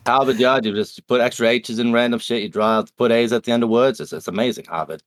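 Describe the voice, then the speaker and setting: A man's voice: mid-range voice, fast talker, dry recording. A man from Nova Scotia with a Canadian accent, chatting casually and swearing freely as a cast member of a game podcast. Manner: laid-back, swears freely, tangents. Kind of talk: casual chat.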